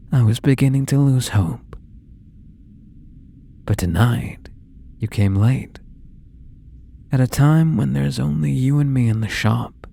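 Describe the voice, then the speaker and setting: An actor playing an obsessive, possessive young male stalker in an intimate ASMR roleplay, coaxing softly with falsely tender menace. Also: lowish voice, creaky, a little hiss or hum, exaggerated voice. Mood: sly, eager. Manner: soft, coaxing, menacing, slow and deliberate.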